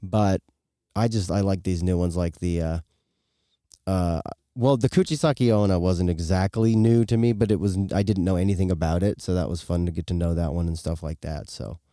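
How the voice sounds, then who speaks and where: An American man in his thirties chatting casually as a podcast co-host, rambling in a relaxed, slangy way. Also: low-pitched, normal speed, no room sound, studio quality. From western United States. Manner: laid-back, rambling, slangy. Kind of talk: casual chat.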